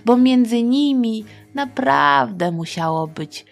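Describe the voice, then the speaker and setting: A middle-aged woman hosting a children's history podcast, telling a story in a playful, colloquial, expressive way. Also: fairly high pitch, takes it slowly, gravelly, faint music behind. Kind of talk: informal scripted storytelling for children.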